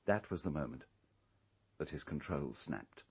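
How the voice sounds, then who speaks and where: An actor playing an urbane, calculating English island owner in a radio drama, speaking in a measured, precise, coolly unrepentant way. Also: male voice, rumbling low, animated, a little mellow, slightly rough, full character voice. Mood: grave recounting.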